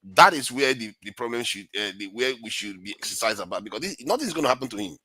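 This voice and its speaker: Bright, forceful man on a panel. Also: lowish voice, expressive, fast, slightly rough, dry recording, low-quality recording. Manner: forceful, mocking, persuasive. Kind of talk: heated panel discussion.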